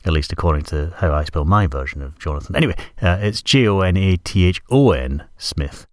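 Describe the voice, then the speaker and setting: Man, stuffy cold, very low voice, rambling podcast host, self-correcting. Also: expressive, brisk, no echo, studio quality. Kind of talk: casual music podcast links.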